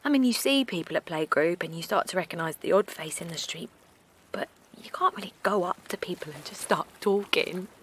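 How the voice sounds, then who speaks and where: A woman's voice: fairly low pitch, a little fast, fairly bright tone, a little hiss or hum, close to an everyday voice, rough recording. A woman playing a frazzled, exhausted young mum. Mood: anxious weary. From Essex. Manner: anxious, apologetic, rambling, often half-whispered. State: worn out. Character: exhausted young mother of a colicky newborn. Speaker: new mother. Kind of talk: naturalistic audio drama acting. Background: faint outdoor seaside ambience.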